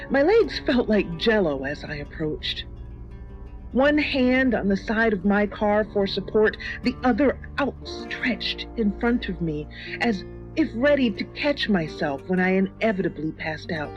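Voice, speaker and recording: A woman reading a scripted first-person horror story in a measured, dramatic, suspenseful manner. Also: mid-range voice. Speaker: story reader. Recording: dull lo-fi audio with music.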